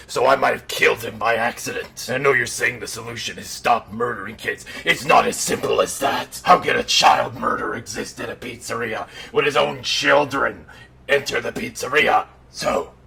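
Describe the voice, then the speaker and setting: A man voicing an irritable, sardonic undead killer in an animatronic suit, telling a bedtime story in a deadpan, exasperated growl. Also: medium pitch, moderate pace. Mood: exasperated, ranting. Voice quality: gravelly, breathy growl. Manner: deadpan dark humor, exasperated. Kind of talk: in-character bedtime storytelling roleplay. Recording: noticeable background noise.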